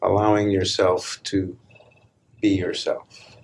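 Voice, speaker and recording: A man leading a guided meditation as a spiritual teacher, speaking calmly and deliberately in short phrases with long pauses between them. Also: sits low, vocal fry, slightly rough. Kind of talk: guided meditation, slow spoken instructions. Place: live meditation session in Los Angeles.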